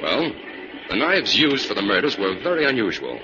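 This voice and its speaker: An actor playing a calm, assured crime-fighting scientist in an old-time radio drama. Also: male voice, lowish voice, moderate pace. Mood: calm, explanatory. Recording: muffled, noisy old radio recording.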